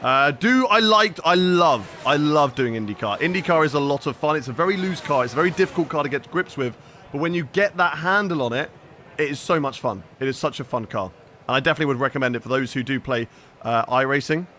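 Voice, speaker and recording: A young man from the UK, streaming a racing game from home. He talks casually to his viewers, reads out their messages and answers them, and his voice is bright and forceful. A faint hum sits behind him. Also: a little high, expressive, fast talker. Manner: chatty, reads and answers chat while playing. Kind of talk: live gaming stream chat.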